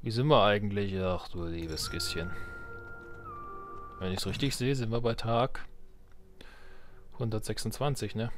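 A man's voice: lowish voice, measured pace, pauses often. Man casually commentating a game over music. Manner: wry, joking, thinking aloud. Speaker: let's play host.

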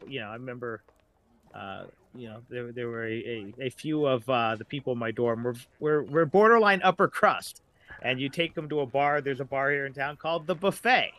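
A middle-aged man talking casually as a podcast guest, a writer who haltingly searches for examples as he goes. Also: medium pitch, fairly bright tone.

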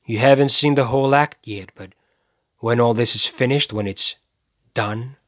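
An actor playing a cold, self-righteous serial killer in a dramatic film monologue, speaking deliberately and with menace, pausing for effect. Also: male voice, mid-range voice, a bit bright, no room sound, clean studio sound, lightly acted. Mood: cold, controlled. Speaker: actor performing a movie monologue.

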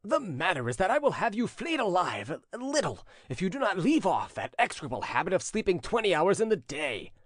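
A man's voice: sits high, sing-song, moderate pace, dry recording, clean studio sound, pitched above the performer's own voice. A man voicing a haughty, menacing prince in a storybook reading, with a severe, commanding and insulting manner. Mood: commanding, threatening. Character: haughty, menacing prince bullying his servant. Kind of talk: audiobook character acting.

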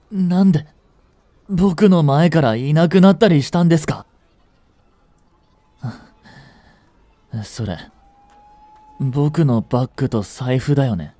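An actor playing a young genius swindler in a romantic audio drama, lovestruck, jealous and boyishly flustered, speaking politely. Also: male voice, a little high, animated, extremely slow, long pauses, mellow. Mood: reproachful. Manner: polite speech, stammering when flustered. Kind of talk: romantic audio drama acting, addressed to the listener.

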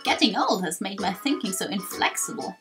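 A woman voicing an elderly, wistful tortoise creature from a video game, halting and drawn-out in speech. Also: medium pitch, lively intonation, music in the background, pitched below the performer's own voice, close to an everyday voice.

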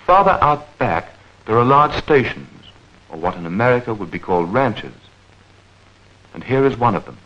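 A man narrating a 1940s educational newsreel in a measured, clearly enunciated, explanatory manner. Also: mid-range voice, big pitch swings, a bit bright. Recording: dull vintage 1940s film soundtrack.

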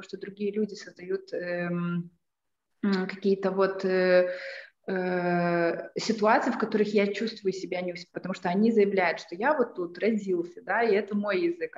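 A woman in her thirties giving an online lecture as a trainer, thoughtful and hesitant, with many fillers and restarts. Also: medium pitch. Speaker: self-defense and assertiveness trainer. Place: small room indoors. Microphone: laptop webcam on a video call. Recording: echoey room, dull lo-fi sound.

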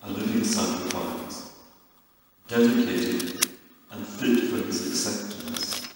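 Priest, male, very low monotone, recorded far off with long church reverb. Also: background noise. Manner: slow, measured recitation. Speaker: priest leading evening prayer. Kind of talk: recited liturgical prayer. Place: large church interior.

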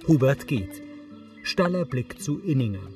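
A man's voice: medium pitch, sing-song, a little slow, vocal fry, over music. A man reading scripted audio description in a neutral, factual manner. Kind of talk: scripted narration.

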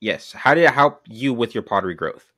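Lively young man hosting a podcast. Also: medium pitch, fairly quick. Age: young adult. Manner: upbeat, friendly intro patter. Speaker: podcast host. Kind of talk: casual podcast interview. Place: small indoor room. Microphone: large studio mic on boom arm.

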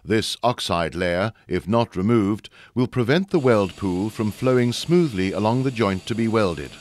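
A man reading a scripted instructional narration for a training video in a measured, formal explaining way, recorded as a close studio voice-over. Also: fairly low pitch, a little mellow, no echo.

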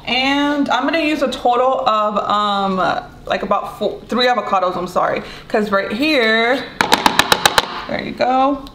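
A woman's voice: a little high, measured pace. A young woman with a Mexican accent, chatting casually as she demonstrates a recipe in her home kitchen and sometimes searching for English words. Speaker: cooking vlogger. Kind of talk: casual cooking demo chat. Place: bright home kitchen, indoors. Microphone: camera mic from a few feet away. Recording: roomy kitchen with clear noise.

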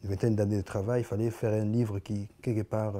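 A man in his forties with a Congolese French accent, answering interview questions in a living room, often stopping and repeating words as he searches for them. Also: fairly low pitch. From Congo (Kinshasa). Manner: halting, many repeated words and restarts, animated hand gestures. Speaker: artist guest.

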